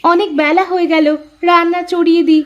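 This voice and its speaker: Woman voicing a very high-pitched young Bengali housewife. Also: little pitch movement, brisk, dull audio. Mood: dutiful. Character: young Bengali village daughter-in-law, dutiful but standing her ground. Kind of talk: cartoon story voice acting.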